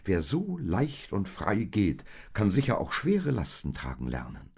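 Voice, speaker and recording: A man voicing a tender, eager young woman in a storybook tale, with breathy, gushing exclamations. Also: low voice, wildly swooping pitch, unhurried, darkish tone, exaggerated voice. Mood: gently earnest. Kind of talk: storybook character voice in narrated tale.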